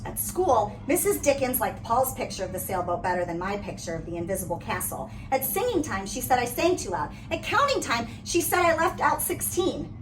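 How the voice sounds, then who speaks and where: A woman reads a children's story aloud with expressive, dramatic phrasing. Her voice is fairly high and swings widely in pitch. It is picked up from a little distance, with clear background noise. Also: fairly quick, few pauses. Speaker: read-aloud reader. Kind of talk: animated picture-book read-aloud. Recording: noisy, distant microphone.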